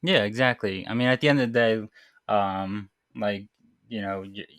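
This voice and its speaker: A man's voice: lowish voice, moderate pace, a bit bright, no echo, clean studio sound. A young man from Morocco who grew up in the US, chatting informally as a podcast guest, explaining things a bit hesitantly. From born in Morocco, raised in US from age eight. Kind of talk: casual chat.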